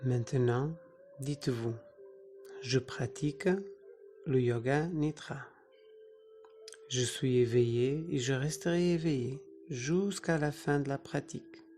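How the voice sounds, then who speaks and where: Slow, mellow meditation guide. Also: medium pitch, slight background noise. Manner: soothing, instructing in short phrases with pauses. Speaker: yoga nidra meditation teacher.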